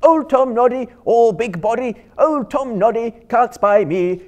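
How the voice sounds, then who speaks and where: A man playing a plucky, mischievous little hobbit from a storybook, in a loud sing-song taunting chant. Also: very high-pitched, lively intonation, unhurried, pitched above the performer's own voice. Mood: taunting, cheeky.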